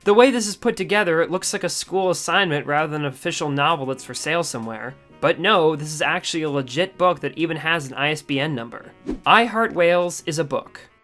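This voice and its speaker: A man with a fairly high voice hosts a scripted comedy review. He speaks with mock-scholarly sarcasm. Soft music runs behind him. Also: brisk. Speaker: comedic book reviewer and host.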